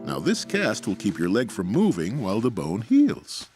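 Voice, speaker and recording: A man playing a calm, reassuring doctor in a children's cartoon. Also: lowish voice, normal speed, loud music behind the voice.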